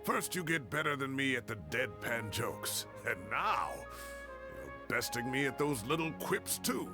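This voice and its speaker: An actor playing a wry, fond older professor in a video game, theatrical and playfully grumbling, with a gravelly voice. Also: male voice, mid-range voice, very animated, unhurried. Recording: game music under the voice.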